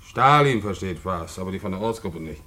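Gruff, low-voiced tavern keeper father. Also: expressive, a little fast, pushed and bright. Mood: gruff, practical. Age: middle-aged. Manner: brusque, blunt, short commands. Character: gruff working-class Berlin tavern keeper, father, communist.

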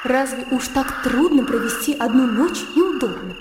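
An actress playing a blunt, spirited young country noblewoman in a radio drama, animated and outspoken. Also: high voice, normal speed, reverberant, big-room sound, background noise. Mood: breezy, insistent.

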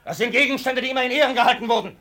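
An actor playing a brutal, coarse and scornful farm master who drinks hard, in the style of 1950s German radio drama. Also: male voice, very high voice, very fast, bright, forceful tone. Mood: angry, threatening. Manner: coarse, scornful, blustering, cursing. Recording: old radio play recording, partly off-mic.

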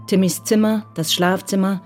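A woman's voice: mid-range voice, fairly even intonation, moderate pace, darkish tone, faint music behind. A woman reading scripted narration for a German radio crime drama.

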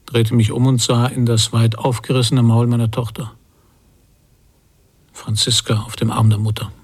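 An actor playing a brooding emigrant father who recalls a stormy sea voyage in a reflective, measured radio play monologue. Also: medium pitch, flat delivery, husky, natural voice. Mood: weary recollection.

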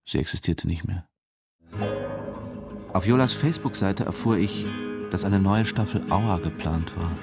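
Low-voiced, weary diving instructor. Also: male voice, measured pace, creaky, pauses often, loud music behind the voice. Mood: sober. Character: Sven, weary diving instructor, sober and resigned narrator. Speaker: actor in radio drama. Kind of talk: German radio drama, intimate first-person narration and dialogue.

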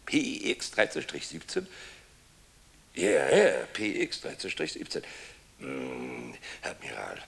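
An actor playing a crusty, pompous eighteenth-century sea captain, rambling and bewildered in a radio play. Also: male voice, extremely low pitch, huge pitch swings, slow pace, gravelly, husky, heavily acted. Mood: confused, reminiscing. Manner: rambling, swearing, self-important. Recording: long reverberant hall sound.

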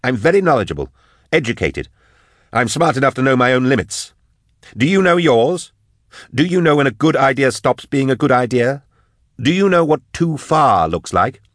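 A man voicing an outspoken, indignant male scholar who challenges and yells sarcastically in an audiobook story. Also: mid-range voice, very animated, moderate pace, no room sound, studio quality, voice pushed up, natural voice.

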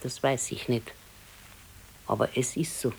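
An actress playing a stoic, dryly scolding old wife in a radio play, terse and matter-of-fact, with a Bavarian accent. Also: female voice, extremely low pitch, measured pace, vocal fry, husky, full character voice, poor audio. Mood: resigned, reflective. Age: older. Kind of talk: naturalistic radio play dialogue.

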